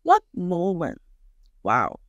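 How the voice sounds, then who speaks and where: Female podcast guest, forties, Malaysian Chinese accent, native Chinese speaker. Conversational, halting delivery, close and dry studio mic. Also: fairly low pitch, wildly swooping pitch, no echo, lo-fi sound. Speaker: guest chef and culinary instructor. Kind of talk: casual podcast interview. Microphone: close studio microphone on boom arm.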